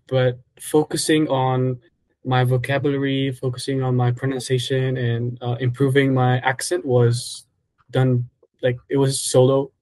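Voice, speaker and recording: A man in his twenties from Egypt, a native Arabic speaker, answering interview questions in relaxed, casual English with many fillers and self-corrections. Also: mid-range voice, no echo, poor audio. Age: 20s.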